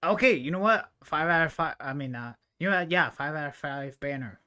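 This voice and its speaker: A man live streaming a game with casual, rambling commentary, thinking out loud as he plays. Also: a little high, lively intonation, fairly quick.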